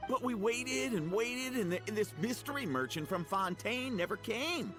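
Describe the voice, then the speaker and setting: A man playing a nervous, doubtful small-time trader with a halting manner in a video game. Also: sits high, animated, over music. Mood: flustered. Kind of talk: scripted video game voice acting.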